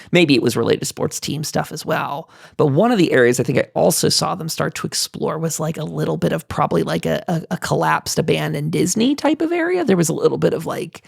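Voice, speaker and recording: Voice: medium pitch, gravelly, no echo, clean studio sound. A podcast host who rambles and thinks aloud while leading a casual roundtable chat about video games.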